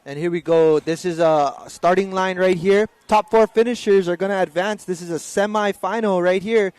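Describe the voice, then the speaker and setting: Easygoing male race commentator, fairly high voice. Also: moderate pace, clean tone, no room sound. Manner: relaxed, loose delivery with many fillers and self-corrections. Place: outdoor race venue broadcast.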